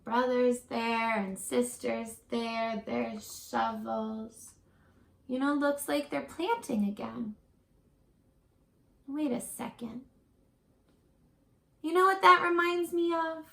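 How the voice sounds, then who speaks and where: A woman reads aloud to young children in a high, unhurried voice with some creak at the edges. She is gentle and encouraging, acting out the book and turning to the listener with questions, like a teacher with a class. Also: echoey room.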